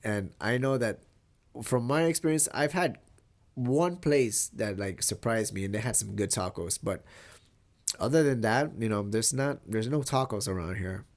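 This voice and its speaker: A man's voice: lowish voice, moderate pace. A young man from Mexico talking casually in a vlog, with a stuffy, nasal voice from a cold, rambling and swearing easily. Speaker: vlogger.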